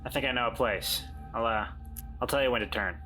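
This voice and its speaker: A man playing a wry, weary but determined man turned weasel, speaking haltingly in a tabletop roleplay. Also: medium pitch, sharp tone, faint music behind, natural voice. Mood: hesitant. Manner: halting, stammering.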